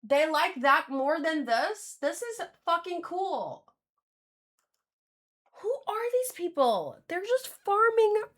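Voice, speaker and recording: A woman's voice: extremely high pitch, big pitch swings, slow pace, fairly bright tone. A woman talking casually and informally as a livestreamer giving commentary, often reworking her sentences as she goes. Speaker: streamer reacting to manga.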